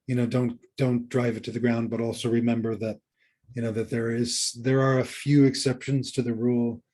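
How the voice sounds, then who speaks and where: A man co-hosting a podcast, coaching casually in a halting way, circling back and checking for agreement. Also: mid-range voice, level tone, mellow. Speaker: podcast co-host.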